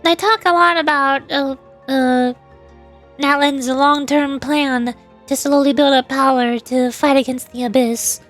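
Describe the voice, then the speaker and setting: High-voiced woman streamer musing over a game. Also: fairly even intonation, a little slow, a bit bright. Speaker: VTuber streamer. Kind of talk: casual game playthrough commentary. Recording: game music faintly behind.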